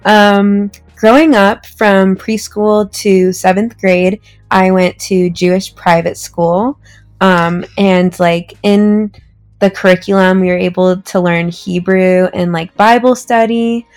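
A woman's voice: mid-range voice, fairly even intonation, slow, a little mellow, faint music behind. A young woman from Southern California, a podcast guest telling her story in a friendly, casual way with frequent likes. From Orange County, California. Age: young adult. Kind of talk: casual interview chat.

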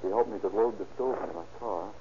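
Worried civilian under questioning, played by a man: cooperative, fairly low pitch, vintage radio style, noisy lo-fi sound. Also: quick pace, close to an everyday voice. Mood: puzzled, cooperative. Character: uneasy, cooperative civilian man, son of a suspect. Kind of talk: 1930s radio acting. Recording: muffled, noisy old radio recording.

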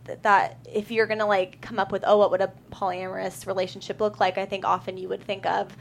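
A woman's voice: mid-range voice, level tone, brisk. A woman speaking casually as a writer on a discussion panel. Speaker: screenwriter being interviewed. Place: indoor studio panel. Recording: clear room noise.

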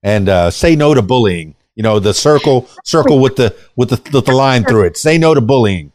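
A man's voice: mid-range voice, expressive, moderate pace, a little mellow, no echo. Chatty male podcast host, dry sound. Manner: chatty, rambling with frequent restarts. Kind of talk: casual podcast interview.

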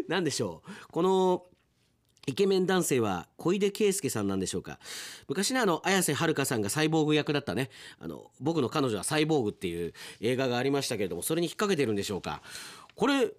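A man's voice: a little high, very animated, quick pace. A middle-aged man presenting on radio, chatting casually and reading listeners' submissions aloud, often chuckling to himself.

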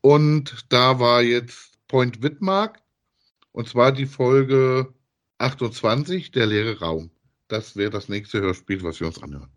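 A man's voice: mid-range voice, slow pace. A man chatting casually as co-host of a podcast that reviews audio dramas.

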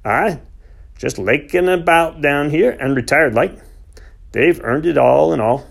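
A gruff old Yorkshire farmer, blunt and short with his words, as a man reading the story puts him on. His voice sits higher and louder than the narration, with a gravelly edge. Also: expressive, measured pace, heavily acted. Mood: plain, matter-of-fact.

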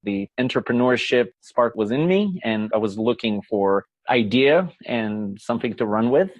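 A man as a guest on an interview podcast. He explains things earnestly, laughs easily, and his voice sits at an ordinary middle pitch. The recording is dry and close, with no room sound. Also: lively intonation, moderate pace, no room sound, muffled recording. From Israel. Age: 40s. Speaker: guest, company founder. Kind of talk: conversational interview.

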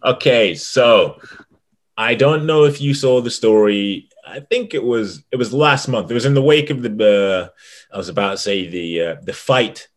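A man's voice: medium pitch, animated, moderate pace. A man co-hosting a casual sports podcast, rambling with frequent restarts and easy laughs. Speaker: podcast co-host.

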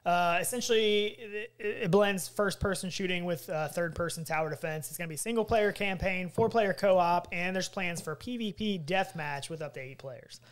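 High-voiced man chatting about games. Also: moderate pace, hardly any pauses, smooth. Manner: talkative. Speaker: co-host of a gaming show. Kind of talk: casual VR gaming chat.

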